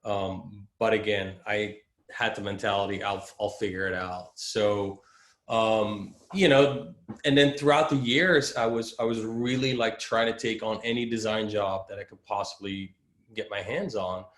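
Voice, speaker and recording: A man from the Netherlands talks through his career in a loose, rambling way, full of ums and restarts. His voice sits fairly low. The video-call audio carries a noticeable room echo. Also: steady tone, a little slow, a bit bright. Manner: rambling, many fillers and restarts. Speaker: creative director. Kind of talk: casual interview storytelling.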